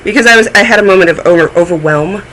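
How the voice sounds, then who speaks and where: A man's voice: high voice, fast, sharp tone, a little hiss or hum, rough recording. A man hosting a knitting podcast, chatting in a rambling, self-deprecating way and sounding a little phlegmy. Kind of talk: casual chat.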